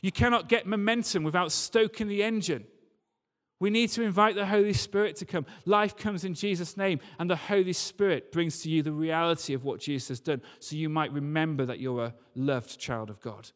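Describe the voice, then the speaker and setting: A man's voice: a little high, normal speed, a bit bright. A man preaching a church sermon in an earnest, emphatic way, repeating key phrases. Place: large reverberant church or hall. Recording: long hall reverb.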